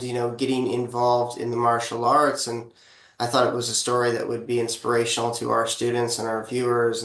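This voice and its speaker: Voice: medium pitch, fairly bright tone, reverberant, low-quality recording. An interviewer opening a conversation in a polite, encouraging way with hesitant fillers. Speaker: martial arts interviewer host. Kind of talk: interview host.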